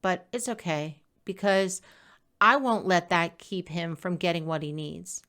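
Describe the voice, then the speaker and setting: A woman reading her own blog post aloud as scripted personal narration, in a conversational, expressive storytelling manner. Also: on the low side, unhurried.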